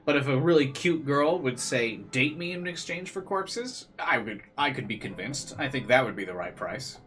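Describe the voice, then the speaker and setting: A man's voice: medium pitch, animated, moderate pace, fairly bright tone. Male let's player reading aloud. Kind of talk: visual novel read-aloud with casual commentary. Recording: faint game music behind.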